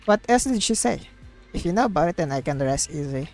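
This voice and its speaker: Female game streamer, low-ish voice, excitable and gushing, reads dialogue aloud over prominent background music. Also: fairly quick. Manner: gushing and excitable, exclaims and repeats herself. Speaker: streamer playing a video game.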